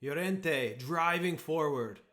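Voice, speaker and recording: A man's voice: fairly high pitch, expressive, slow pace, a bit bright. Chatty man in an echoey room. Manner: chatty, reacts to match moments, answers viewers directly. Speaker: streamer hosting a football watchalong. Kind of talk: casual live-stream chat and match reactions.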